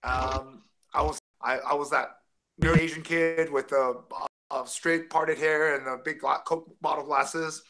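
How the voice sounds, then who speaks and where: A man's voice: fairly high pitch, fairly even intonation, a little slow, sharp tone. A man in his forties from Taiwan, telling stories as an interview guest over a video call, rambling with many restarts and fillers. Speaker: guest, former fighter. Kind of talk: casual interview storytelling. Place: home room with framed jersey. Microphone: video call webcam close up.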